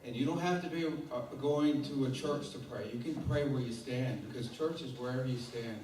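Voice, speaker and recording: A man's voice: mid-range voice, normal speed, darkish tone, a little hiss or hum. A man from the Mescalero Apache, a performer and storyteller speaking plainly and in a friendly way to a live audience in a lecture hall. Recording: echoey hall mic heard from far off.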